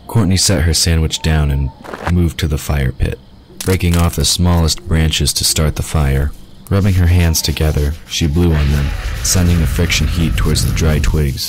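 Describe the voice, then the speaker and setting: A man's voice: very deep voice, steady tone, moderate pace, background noise. A man reading scripted fiction as the narrator of an audio drama.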